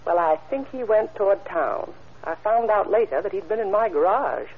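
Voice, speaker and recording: Lively, careful female witness. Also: mid-range voice, normal speed, breathy edge. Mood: helpful, careful. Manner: careful, matter-of-fact, helpful. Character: observant, obliging female mortician giving witness testimony. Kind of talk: old-time radio crime drama acting. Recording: muffled old radio recording, background hiss.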